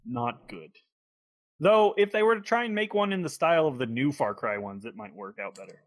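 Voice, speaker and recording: A man co-hosting a podcast in casual conversation, with halting, hedging phrasing. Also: fairly high pitch, animated. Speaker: podcast co-host. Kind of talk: casual chat.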